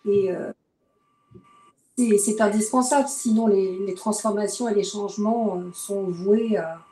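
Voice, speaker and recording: Female panel guest, warm voice, deliberate delivery, roomy echo, faint hum. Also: mid-range voice. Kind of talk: casual panel discussion. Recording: echoey room with faint hum.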